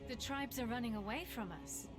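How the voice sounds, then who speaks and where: A woman's voice: on the high side, a little fast, lightly acted. An actress playing a world-weary but principled and loyal female assassin in a video game. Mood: defiant. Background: game music.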